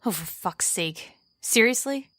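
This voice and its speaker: A woman's voice: on the high side, expressive, measured pace, fairly bright tone, no echo. An actress playing a sarcastic, distrustful young woman archer in an audio drama, with an irritated, sardonic delivery. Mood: exasperated.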